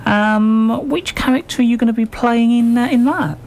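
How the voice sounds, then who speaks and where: A man hosting a community radio interview, asking questions in a halting, hesitant way. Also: extremely high pitch, darkish tone. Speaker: radio show host.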